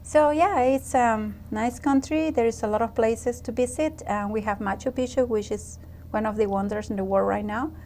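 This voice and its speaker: A woman's voice: on the high side, normal speed, hardly any pauses, a little mellow, slight background noise. A woman from Peru whose first language is Spanish, explaining her country as a guest in a friendly, explaining way. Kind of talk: casual interview.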